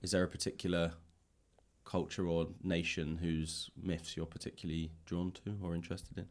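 Low-voiced man asking questions. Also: level tone, normal speed, darkish tone. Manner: tentative, rambling phrasing with hedges. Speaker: podcast host, interviewer. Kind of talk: casual, exploratory interview questions. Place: indoors, upper floor of a public library.

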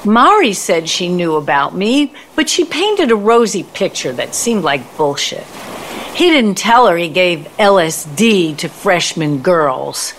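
A woman's voice: on the low side, big pitch swings, a little slow, a little hiss or hum, poor audio. A woman playing a bitter, vengeful ex-girlfriend in an audio play, with a theatrical, sardonic manner. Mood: bitter, defiant.

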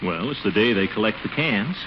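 An actor playing a pompous middle-aged uncle and bumbling suitor in a 1940s radio comedy, blustery and chuckling. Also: male voice, on the low side, animated, brisk, very noisy, close to an everyday voice. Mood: coy, bashful. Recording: dull, lo-fi 1940s radio recording.

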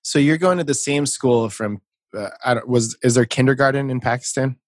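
A man's voice: mid-range voice, brisk, dry recording, studio quality. A man hosting a casual podcast interview over a video call, upbeat and chatty, laughing easily. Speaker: podcast host.

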